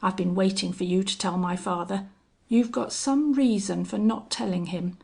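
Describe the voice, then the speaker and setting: A woman voicing a cowardly, blustering young man in a western audiobook, excitable and prone to stammering. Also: mid-range voice, unhurried, vocal fry, breathy edge, heavily acted. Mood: defiant bluster. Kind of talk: audiobook character acting.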